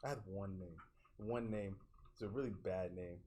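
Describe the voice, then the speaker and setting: A young man telling a story haltingly in casual podcast chat, correcting himself as he goes. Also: deep voice, warm tone, a little hiss or hum. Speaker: esports team member.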